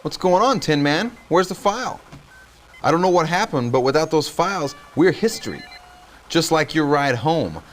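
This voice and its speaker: An actor playing a cocky young hacker and extraction specialist in a video game, slangy and wry. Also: male voice, a little high, big pitch swings, normal speed, a little hiss or hum. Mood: angry. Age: young adult. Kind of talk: video game cutscene acting.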